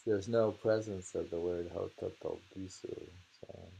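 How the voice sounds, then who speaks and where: A man reading poems aloud and commenting informally, musing and repeating lines deliberately. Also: low voice, fairly even intonation, vocal fry, mellow, poor audio. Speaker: reader of haiku poetry. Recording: noticeable hiss and background noise.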